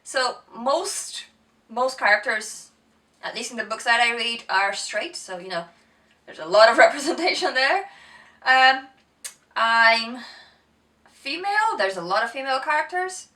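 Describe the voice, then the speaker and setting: A woman with a blended Brazilian, American, English and Northern Irish accent talks to camera in a chatty, giggly way, full of ums and self-corrections. Her voice is bright and a little high, and she sits some way back from the mic. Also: lively intonation, unhurried, long pauses, far from the mic. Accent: mixed Brazilian, American, English and Northern Irish. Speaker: book vlogger. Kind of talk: casual chat to camera.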